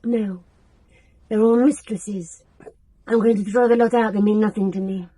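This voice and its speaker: A woman's voice: medium pitch, steady tone, normal speed, mellow, no echo, close to an everyday voice, poor audio. An actress playing a proud, prickly young woman in a radio drama, guarded and curt in her replies. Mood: defiant, curt. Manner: curt, defiant, short replies.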